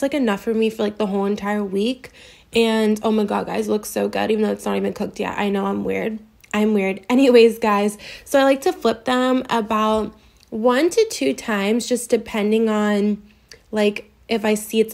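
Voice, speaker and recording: A woman's voice: on the high side, fairly even intonation, moderate pace, gravelly, darkish tone. A young woman from the US East Coast, chatty and gushing, narrating a casual meal prep voice-over in her home kitchen. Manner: chatty and gushing, heavy use of "like". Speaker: vlogger.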